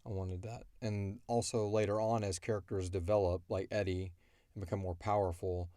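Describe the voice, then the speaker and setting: A man's voice: low-pitched, level tone, moderate pace, dark tone, dry recording, studio quality. A man chatting casually as a podcast co-host, rambling and informal as he explains things. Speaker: podcast co-host and audio drama creator.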